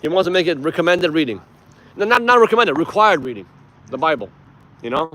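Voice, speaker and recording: A man's voice: on the high side, very animated, a little fast, a bit bright. A man from Iran, a native Persian speaker, hosting a casual livestream and giving emphatic, informal political commentary with some swearing. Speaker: live stream host.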